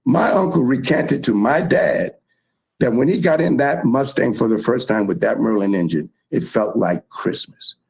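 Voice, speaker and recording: A man from the South Bronx, telling history in animated, emphatic casual chat as a show host. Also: mid-range voice, moderate pace, gravelly, poor audio. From South Bronx, New York.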